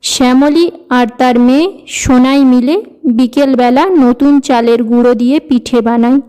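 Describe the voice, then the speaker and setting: High, mellow female storyteller. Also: steady tone, a little slow, clear, resonant tone, rough recording. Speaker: storyteller, narrator. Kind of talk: scripted cartoon story narration.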